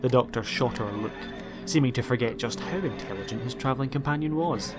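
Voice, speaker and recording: A man's voice: medium pitch, fast. A man narrating a scripted audiobook story in a dramatic storytelling style. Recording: music behind the voice.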